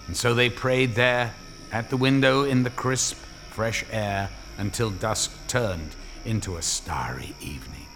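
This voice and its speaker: A man's voice: on the low side, measured pace, a bit bright, faint music behind. A man narrating a scripted dramatic story as the narrator of an audio drama.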